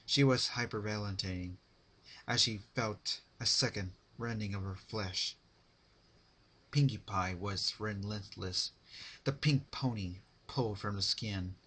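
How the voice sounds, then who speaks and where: Slow, forceful man narrating a story. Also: on the low side, breathy edge. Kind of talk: dramatic fan fiction reading.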